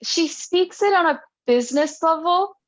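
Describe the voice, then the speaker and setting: A woman's voice: very high-pitched, lively intonation, normal speed, dry recording, highs cut off. A Japanese woman in her twenties whose first language is Japanese, speaking English with an American accent in a friendly, casual conversation and laughing easily. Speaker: guest, grad student.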